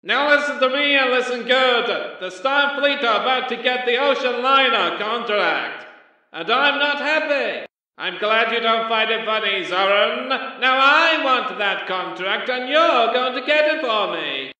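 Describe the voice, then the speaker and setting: A scheming cartoon crime boss , voiced by a man, short-tempered and sarcastic. The sharp voice comes menacingly from a distance through an echoing megaphone. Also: very high voice, animated, measured pace, muffled recording, exaggerated voice. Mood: scheming, irritated. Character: scheming, short-tempered villainous male tug fleet boss. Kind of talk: cartoon villain voice acting.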